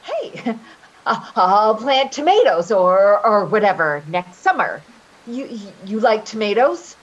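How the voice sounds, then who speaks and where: Woman acting an evasive, stammering caregiver, animated swings. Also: medium pitch, muffled recording. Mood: coaxing, nervous. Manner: halting, stammering, repeating words. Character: Patty, evasive manipulative caregiver woman, coaxing and bullying. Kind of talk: readers theater stage acting.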